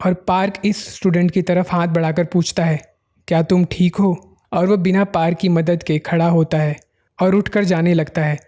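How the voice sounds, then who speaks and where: Mellow-toned anime recap narrator. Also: medium pitch, moderate pace. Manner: steady, running scene-by-scene narration. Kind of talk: storytelling plot recap.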